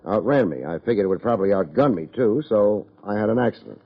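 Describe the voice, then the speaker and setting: An American actor playing a wry, self-deprecating private investigator in an old-time radio drama, with a deadpan, wisecracking delivery. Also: male voice, lowish voice, fast. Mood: dry, matter-of-fact. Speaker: lead actor, narrator. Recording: muffled old radio recording.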